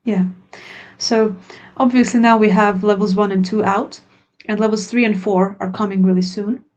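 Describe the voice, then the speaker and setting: A woman whose native language is Croatian, explaining her work in a sincere, straightforward way during a webinar Q&A. She speaks at a middle pitch, as a teacher walking through her answers. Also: fairly even intonation, moderate pace, darkish tone, low-quality recording. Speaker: language teacher and product founder.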